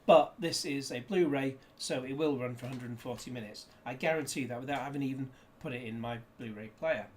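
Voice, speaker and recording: A man's voice: medium pitch, brisk, hardly any pauses, a little mellow, slight background noise. A man chatting casually through a disc unboxing, rambling with jokey asides, recorded indoors on a desk camera. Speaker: disc reviewer.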